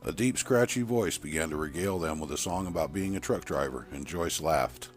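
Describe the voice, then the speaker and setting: A man's voice: rumbling low, a little fast, soft background music. A man reading a story aloud as dramatic, scripted narration. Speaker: storyteller narrating horror fiction.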